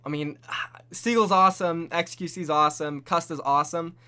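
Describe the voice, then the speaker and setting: A young man hosting a casual esports prediction chat, animated and full of bold, hype-driven takes. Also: a little high, normal speed, clean tone. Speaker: host.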